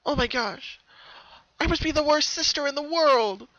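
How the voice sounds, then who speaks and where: A man's voice: very high voice, normal speed, bright, forceful tone, no echo, muffled recording, voice pushed up, exaggerated voice. A man voicing an anxious, earnest young female cartoon pony in an emotional, pleading manner. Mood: guilty, pleading.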